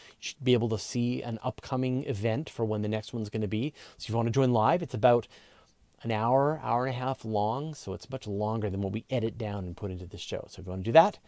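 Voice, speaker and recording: A man's voice: medium pitch, darkish tone, no echo. A man hosting a live question and answer show, explaining things in a casual, conversational way and thinking aloud as he goes. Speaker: host of a space and astronomy question show.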